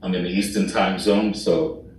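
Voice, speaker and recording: A man's voice: deep voice, fairly even intonation, moderate pace. A 60-year-old man, hosting and making introductions over a very echoey, distant video call. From Trinidad and Tobago. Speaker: host of poetry recital.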